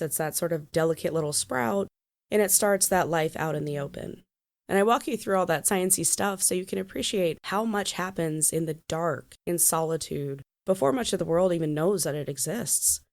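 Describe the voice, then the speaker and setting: A woman's voice: lowish voice, darkish tone. A woman hosting a solo podcast, talking through a stuffy head cold and explaining with small laughs. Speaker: podcast host.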